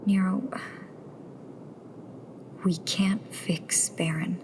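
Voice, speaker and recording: An actress playing a frightened but sardonic young rebel tech in a sci-fi audio drama, speaking haltingly. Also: female voice, medium pitch, fairly even intonation, in a whisper, poor audio. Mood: scared, subdued. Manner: halting, stammering.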